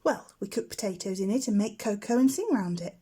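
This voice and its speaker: A woman's voice: mid-range voice, fairly quick, breathy edge, close to an everyday voice. A woman voicing a cheerful, teasing older boy, a matter-of-fact scout in a storybook. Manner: breezy, exclaiming, gently mocking.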